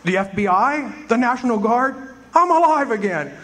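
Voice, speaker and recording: A man's voice: very high voice, normal speed. A man acting in a live community radio play, voicing an overjoyed, breathless husband and father. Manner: excited, breathless joy. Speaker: actor playing George Bailey.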